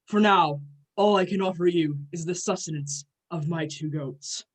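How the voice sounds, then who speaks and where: A woman's voice: fairly low pitch, normal speed, lightly acted, poor audio. A woman playing a courteous but short-tempered male god with formal, grandiose speech in a play reading. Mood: reassuring.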